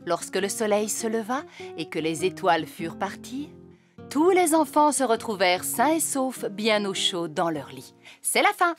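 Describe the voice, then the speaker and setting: A woman's voice: medium pitch, sing-song, moderate pace, soft background music, lightly acted. An actress voicing a fairy queen and mother who reads a storybook aloud expressively, in a French cartoon dub. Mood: storytelling.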